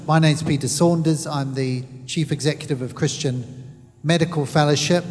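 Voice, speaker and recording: A man moderating a panel discussion, polite and a bit hesitant. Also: on the high side. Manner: polite, hesitant, uses fillers. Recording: reverberant hall.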